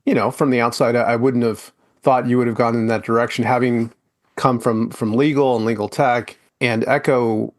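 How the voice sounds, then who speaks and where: A man's voice: medium pitch, fairly even intonation, moderate pace. Chatty male podcast host. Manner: chatty, loose, many fillers and restarts. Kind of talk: casual interview chat.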